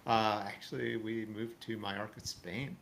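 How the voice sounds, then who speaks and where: A man's voice: fairly low pitch, little pitch movement, moderate pace, vocal fry, fairly bright tone, slight background noise. A man hosting a casual livestream, friendly and chatty, laughing often. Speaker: livestream host. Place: home, now living in Spain.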